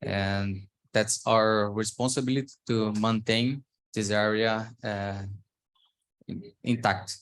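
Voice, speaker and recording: Slow, halting Brazilian-accented man. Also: on the low side, steady tone, a bit bright, clean tone. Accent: Brazilian Portuguese. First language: Portuguese. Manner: halting, searching for words in a learned language. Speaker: farmer presenting. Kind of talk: slide presentation on a call.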